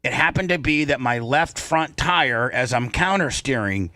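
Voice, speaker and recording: A man with a forceful, bright voice tells a story in casual talk show style. He is animated and repeats phrases for emphasis, in a very dry studio sound. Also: mid-range voice, normal speed, dry recording.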